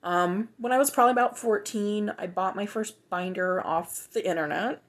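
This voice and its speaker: A woman of twenty-five, talking in a reflective, hesitant way with many pauses and restarts for a personal video journal. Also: mid-range voice.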